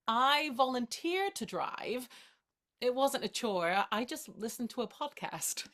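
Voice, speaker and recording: A woman's voice: fairly high pitch, lively intonation, measured pace, a bit bright. A woman acting in a naturalistic drama as a playful, caring best friend with a light, teasing, encouraging manner. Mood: playfully teasing.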